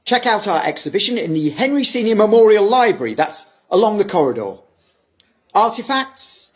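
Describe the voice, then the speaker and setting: A man's voice: high-pitched, sing-song, normal speed. A man playing a welcoming, showy visitor-centre manager in an audio drama, effusive and presentational like a tour guide.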